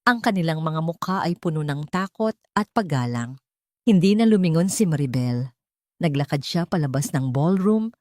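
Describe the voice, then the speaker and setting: A woman's voice: low voice, lively intonation, normal speed, darkish tone, no echo, studio quality. A woman reading a scripted story as a dramatic narrator.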